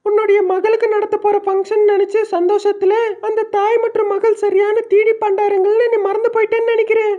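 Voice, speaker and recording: Small cartoon bird girl, extremely high, flat intonation, nagging, blunt. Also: a little fast, hardly any pauses, highs cut off. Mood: blunt, scolding. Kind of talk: Tamil moral-story cartoon dub.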